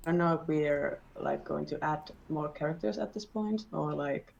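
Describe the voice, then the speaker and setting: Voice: medium pitch, normal speed, vocal fry, a little mellow, dull audio. A person talking casually and hesitantly on a game team livestream, relaying questions from the chat. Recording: faint background noise.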